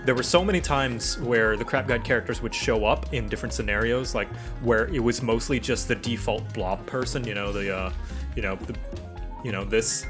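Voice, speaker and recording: A man with a slight stutter chatting casually on a livestream, explaining his creative process as an animator in a halting, rambling way. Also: medium pitch. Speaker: streamer and animator. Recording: music playing behind.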